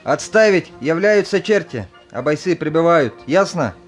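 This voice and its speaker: A man's voice: a little high, lively intonation, normal speed, natural voice. A man in an audio play acting a stern young army commander who barks orders at recruits. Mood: strict. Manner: barking, commanding. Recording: music under the voice.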